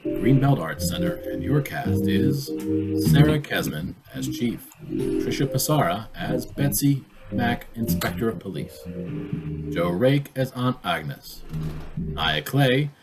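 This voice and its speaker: An actor playing an old-time radio announcer, closing the broadcast in a formal, declaiming way. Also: male voice, medium pitch, lively intonation, slow, loud music behind the voice, rough recording. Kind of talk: community theater radio play.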